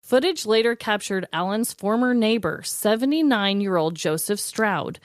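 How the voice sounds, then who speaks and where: A woman's voice: mid-range voice, lively intonation, moderate pace, no room sound, clean studio sound. A woman co-hosting a podcast, telling stories in casual chat as she runs a game.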